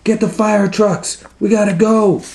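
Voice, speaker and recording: A man voicing excited, alarmed sailors and firemen in a children's storybook, calling out urgently. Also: a little slow. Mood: frantic, urgent. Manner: urgent, exclamatory, high-pitched.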